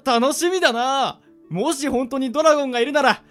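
A man's voice: sits high, sing-song, moderate pace, husky, faint music behind, heavily acted. A man in an amateur radio drama playing a casual, bewildered young man summoned to another world. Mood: excited. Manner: casual, reacting in puzzlement.

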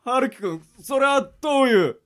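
A man playing a hot-blooded, weepy and devoted gangster underling in an amateur radio drama, excitable and stammering. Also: very high-pitched, normal speed. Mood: bewildered.

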